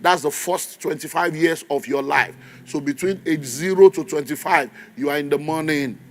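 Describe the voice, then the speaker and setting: A man's voice: a little high, moderate pace, slight background noise. A man in his fifties with a Nigerian accent, preaching a sermon in a church, stressing and repeating key phrases and leading the congregation in call and response. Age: 50s.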